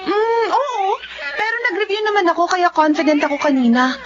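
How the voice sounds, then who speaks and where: A woman's voice: extremely high pitch, lively intonation, a little fast. A woman acting a perky, chatty and frank teenage schoolgirl in a Tagalog radio drama. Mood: cheerful. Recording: dull lo-fi audio, faint background noise.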